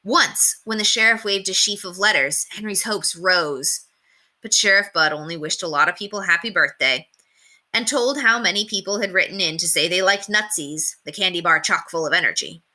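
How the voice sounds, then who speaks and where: A woman reads a children's story aloud in a very bright, crisp-edged voice. Her pitch sits a little low but moves in lively, expressive swings. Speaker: read-aloud narrator. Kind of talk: scripted children's story narration.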